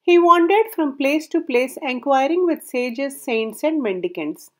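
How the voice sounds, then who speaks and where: A woman narrating a folk tale aloud in a measured storybook reading, her sentences falling at the ends. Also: high-pitched, animated, darkish tone.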